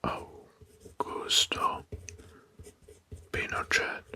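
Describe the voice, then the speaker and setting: Male ASMR narrator: very slow, breathy whisper, deliberate delivery of history facts. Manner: slow, deliberate, often repeating names and phrases softly.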